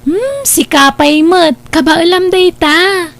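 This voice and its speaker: A woman voices a cheerful young cousin with a high voice. She chats in a friendly, upbeat way in a family radio drama. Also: animated, slow pace, exaggerated voice. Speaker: voice actor.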